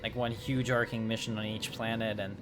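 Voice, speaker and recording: Casual male game streamer over loud music. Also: lowish voice, little pitch movement, a little fast. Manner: rambling, conversational. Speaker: game streamer, channel host. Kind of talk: casual live gameplay commentary. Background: loud game music and effects.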